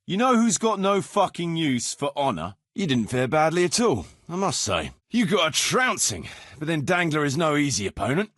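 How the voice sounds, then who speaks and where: An actor playing a wary, self-serving medieval mercenary captain in a video game, talking in a sardonic, pragmatic, patronizing way. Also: male voice, a little high, a bit bright, no room sound, clean studio sound. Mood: irritated. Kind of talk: video game character dialogue.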